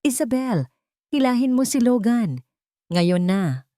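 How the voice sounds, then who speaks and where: A woman acts out a brave, protective man in a romantic audio story. The voice is lifted well above a low narrating register. It is recorded dry. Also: very animated, slow, warm tone, dry recording. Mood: urgent.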